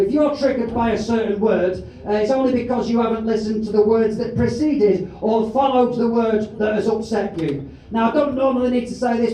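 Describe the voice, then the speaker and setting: Brash high-voiced British compere. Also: male voice, mellow. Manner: brash, sweary, teasing the audience. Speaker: comedy compere. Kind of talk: stand-up crowd work. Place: live comedy club. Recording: echoey room, distant mic, crowd noise.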